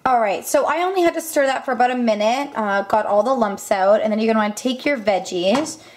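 A young woman casually walking through a home recipe at her stove, talking with lots of ums and quick self-corrections. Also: a little high, slight background noise. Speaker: cooking vlogger. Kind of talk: casual cooking demonstration. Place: home kitchen. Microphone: camera mic, filming over the stove. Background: clinking pots and utensils.

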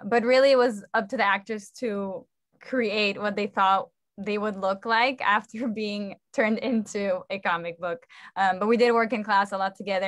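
A young woman explains things as a theatre director would on a panel. Her voice sits at a middle pitch, and the video call sounds dry, with little room echo. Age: young adult. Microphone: video call microphone.

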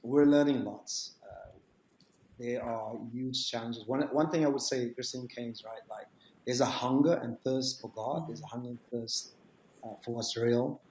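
A man in his late forties, a youth ministry leader, speaking on a video call panel in a relaxed, rambling way with lots of fillers. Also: medium pitch, a little slow. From South Africa. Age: 40s. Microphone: video call webcam. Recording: echoey room with faint noise.

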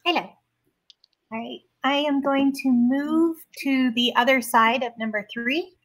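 A woman's voice: sits high, slow pace. A woman playing a tabletop game over a video call, playful and fond of silly sound effects. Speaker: player.

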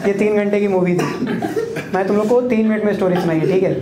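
A man's voice: high voice, fairly even intonation, fast talker. A young male stand-up comedian telling a crude, casual story and checking in with the crowd. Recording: echoey club room, loud crowd laughter. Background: audience laughing.

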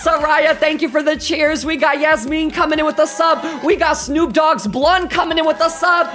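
High-pitched, animated young male livestreamer. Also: moderate pace, soft background music. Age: 20s. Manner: animated, effusive, campy. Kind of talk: hyped casual chat to live viewers. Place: small bedroom streaming setup, indoors.

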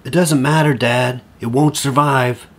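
An actor playing an eager, rambling, over-familiar middle-aged divorced dad in an audio drama. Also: male voice, mid-range voice, measured pace. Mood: wry, rambling. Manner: stammering, over-familiar, excitable.

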